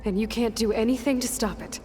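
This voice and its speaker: A woman's voice: fairly high pitch, brisk, over music, lightly acted. A woman voicing a determined young female adventurer in a video game, intent and questioning, as if thinking aloud. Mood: concerned, determined. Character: earnest, determined young female adventurer piecing things together. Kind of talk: video game dialogue, naturalistic.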